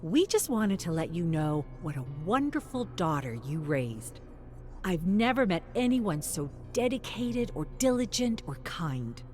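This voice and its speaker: A woman's voice: fairly low pitch, big pitch swings, unhurried, a little mellow, faint music behind. A woman narrator voicing a cheerful, friendly young woman, a loyal teammate in a game story. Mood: cheerful, warm.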